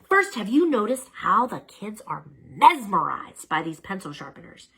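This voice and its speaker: A woman voicing a self-important, scheming cartoon bunny boss with a dramatic, conspiratorial manner and drawn-out emphasis. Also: medium pitch, very animated, sharp tone, a little hiss or hum, voice pushed up. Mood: grave, conspiratorial.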